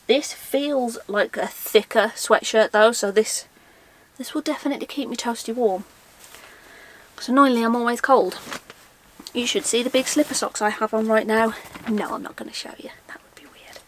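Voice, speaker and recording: A woman's voice: on the high side, moderate pace, a little hiss or hum. A young woman chatting casually through a haul vlog, often asking herself questions and laughing at her own forgetfulness. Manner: chatty, self-mocking, asks herself questions aloud. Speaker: vlogger. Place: indoor room lined with shelves of backpacks.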